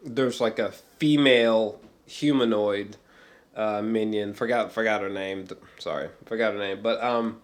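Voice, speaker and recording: A man's voice: fairly low pitch, fairly even intonation, unhurried. A man giving a casual, rambling film review, searching for words and repeating himself, with emphatic, slangy swearing. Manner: emphatic, fannish.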